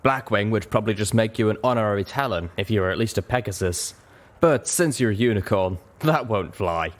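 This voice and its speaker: A man's voice: fairly low pitch, expressive, fairly quick, close to an everyday voice. Guy doing a bold lady griffin gunner for a storybook, brash and grateful.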